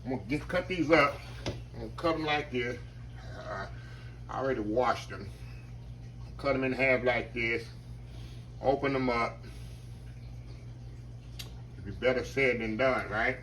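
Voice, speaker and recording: A man, chatting to viewers as he cooks in his home kitchen, his voice slightly hoarse. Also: mid-range voice, a little slow, pauses often, fairly bright tone, a little hiss or hum, rough recording. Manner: chatty, keeps addressing viewers as y'all. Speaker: home cook. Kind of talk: casual cooking demonstration.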